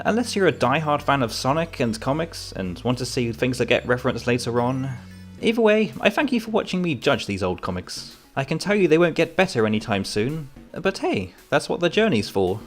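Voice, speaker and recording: A man narrating a scripted comic book review in a wry, informal way. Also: medium pitch.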